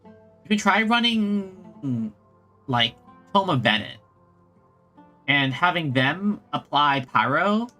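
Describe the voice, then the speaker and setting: A man chatting casually as he streams a game, halting as he thinks aloud. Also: fairly high pitch, big pitch swings. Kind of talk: casual chat while playing a game. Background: soft game music.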